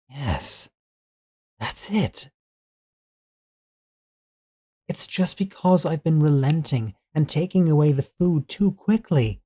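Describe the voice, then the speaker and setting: Possessive male servant, played by a man for audio roleplay: mid-pitched, dark relaxed tone, coaxing and controlling beneath polite deference. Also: natural voice. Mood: resigned, controlling. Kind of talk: scripted roleplay audio drama.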